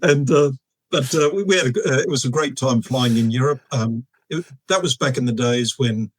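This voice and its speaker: Halting Australian man in his sixties. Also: mid-range voice, no echo. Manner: halting, with many fillers and restarts. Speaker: guest, retired air force officer. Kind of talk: casual storytelling interview.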